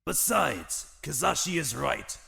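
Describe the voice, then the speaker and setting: A man playing an old, retired village leader in a fan-made anime audio drama, solemn, weary and formal, with a gravelly voice. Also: on the high side, animated, bright, forceful tone, heavily acted. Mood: grave, resigned. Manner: measured, formal, philosophical.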